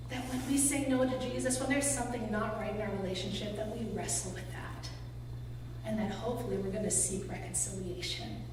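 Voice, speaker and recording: A woman's voice: fairly high pitch, background noise. A woman pastor giving a sermon in a church, preaching in a conversational way with casual asides. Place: church sanctuary. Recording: echoey church hall from far off, humming.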